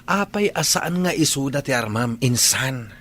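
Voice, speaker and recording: A man's voice: medium pitch, lively intonation, measured pace, fairly bright tone. A man playing a cocky, teasing cousin in a radio drama.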